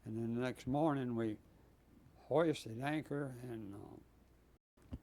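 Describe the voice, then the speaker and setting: Halting, mellow-voiced man in his nineties. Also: mid-range voice. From Texas. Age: 90s. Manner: halting, many pauses and fillers. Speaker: Navy veteran guest. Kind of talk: oral history interview.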